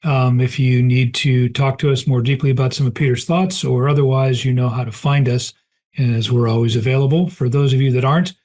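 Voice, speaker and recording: A man's voice: medium pitch, steady tone, brisk, a little mellow. Chatty male host losing his voice. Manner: chatty, many fillers and throat clearing. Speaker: podcast host. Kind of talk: casual podcast outro.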